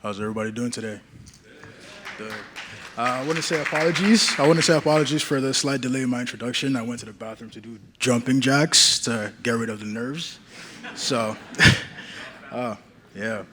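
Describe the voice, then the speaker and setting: A man's voice: mid-range voice, moderate pace, sharp tone, a little hiss or hum. A young man giving an earnest conference talk as a patient advocate, with many fillers and restarts. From Nigeria.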